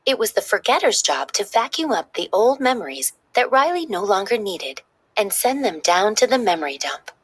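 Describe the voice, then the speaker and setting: A woman narrates a children's storybook aloud with lively, animated intonation. Her voice is slightly husky and sits at a medium pitch. The sound is close and dry, with little room in it. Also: normal speed, dry recording. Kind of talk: expressive scripted read-aloud narration.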